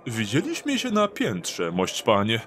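A man's voice: medium pitch, wildly swooping pitch, measured pace, a bit bright. Man playing a sly thief, wildly swooping intonation. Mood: falsely courteous. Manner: theatrical, archaic courtesy. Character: sly, smooth-talking male street thief, mock-courteous. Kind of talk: audio drama acting.

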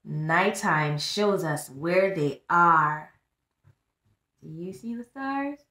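Very slow, expressive woman reading to children. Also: on the low side. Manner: expressive, deliberate, prompting listeners with questions. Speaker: tutor reading aloud to young children. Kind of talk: children's picture book read-aloud with teaching asides. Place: small plain-walled room indoors. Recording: echoey small room.

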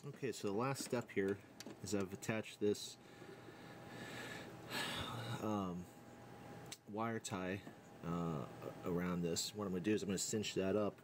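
A man narrating a casual hands-on tutorial, thinking aloud in an unhurried way as he works. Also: deep voice, steady tone. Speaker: DIY electronics demonstrator. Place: indoor workbench. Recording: clear background noise and room ambience.

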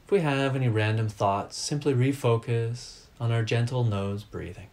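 A man leading a guided meditation as a teacher, giving calm, deliberate instructions in short, measured phrases. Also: mid-range voice.

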